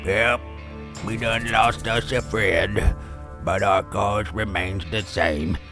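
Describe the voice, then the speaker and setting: Western posse leader, played by a man: dropped-low pitch, unhurried solemn drawl, weary and grave, radio-play style. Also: steady tone, over music. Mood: grim resolve.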